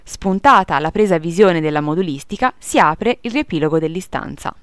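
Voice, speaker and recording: Female tutorial narrator, formal scripted read, lowish and lively intonation, dry close-mic sound. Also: normal speed, no echo. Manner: formal, procedural.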